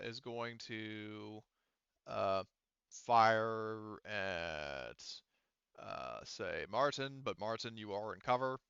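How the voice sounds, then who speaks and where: A man acts as game master for an online tabletop game. His voice is bright and smooth, and he talks casually, swearing and muttering as he works through rules. The recording is dry and a little muffled. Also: fairly low pitch, no room sound. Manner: casual, profane, mutters to himself.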